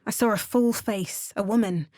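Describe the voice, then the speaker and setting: A woman acts out a determined adventurer from a fantasy role-playing game. She is wry and measured, with a theatrical edge. The sound is dry and close, with no room around it. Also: medium pitch, darkish tone, no room sound, close to an everyday voice. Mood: wry, resolute. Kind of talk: video game voice lines, dramatic narration and incantations.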